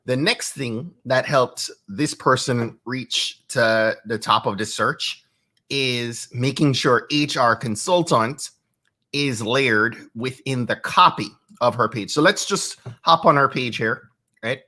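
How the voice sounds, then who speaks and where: A man's voice: medium pitch, slow, sharp tone. A man in his thirties with a Jamaican accent, hosting a live stream and giving marketing tips in a conversational way, often checking in with "right?". He speaks into a studio microphone in a home studio. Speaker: host. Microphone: studio microphone with headphones.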